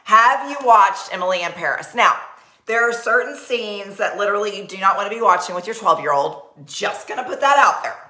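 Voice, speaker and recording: A woman's voice: mid-range voice, lively intonation, brisk, pushed and bright, echoey room, low-quality recording. Bubbly Texan woman hosting a live party. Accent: Texas. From Texas. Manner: bubbly, chatty, talks with her hands. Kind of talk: live-streamed sales party. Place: small decorated home office. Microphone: webcam at desk.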